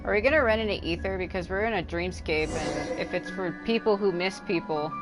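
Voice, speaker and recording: A woman's voice: lowish voice, a little fast. A woman livestreamer giving casual gameplay commentary, thinking aloud in a conversational way. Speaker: game livestreamer. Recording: loud game music behind the voice.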